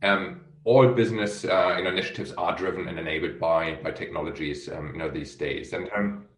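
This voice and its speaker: A man's voice: deep voice, steady tone, brisk. A man hosting a business interview, asking long questions with many fillers and restarts. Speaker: host, chief executive of a research firm. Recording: echoey room.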